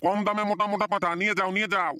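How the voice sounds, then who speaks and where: A man's voice: high voice, fairly even intonation, very fast, heavy background noise, natural voice. A man voicing a pushy old goat seller in a comedy cartoon, with a Purulia Bengali accent and repetitive hawker's patter. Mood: hawking.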